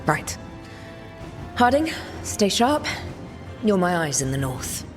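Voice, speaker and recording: A low-pitched woman as a serious game commander. She is firm and earnest, with music clearly playing behind her. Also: sing-song, slow. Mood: resolute.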